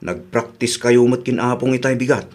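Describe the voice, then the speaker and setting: A man's voice: medium pitch, normal speed, darkish tone, natural voice. A man playing an earnest, aggrieved young son and boyfriend in a radio drama, emotional and pleading, with an Ilocano accent. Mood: reproachful.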